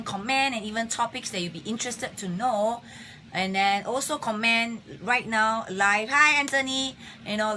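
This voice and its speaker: Bright, husky woman hosting a live health talk. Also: on the high side, slight background noise. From Singapore. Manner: chatty, greets viewers by name, many fillers. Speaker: health talk show host. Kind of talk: casual live-stream talk. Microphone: phone or webcam on a live stream.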